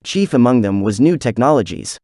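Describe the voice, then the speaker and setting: A man's voice: mid-range voice, expressive, a little fast, a little mellow, no echo, clean studio sound. A man reading a scripted informational passage aloud as a narrator. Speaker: narrator of exam practice passages.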